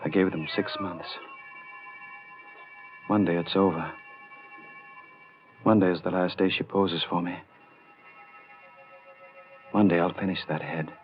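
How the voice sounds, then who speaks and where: A man playing a brooding, jealous, quietly mad sculptor in a 1940s radio horror drama, halting and intense. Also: deep voice, fairly even intonation. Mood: brooding, anguished. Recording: muffled 1940s radio recording, music behind.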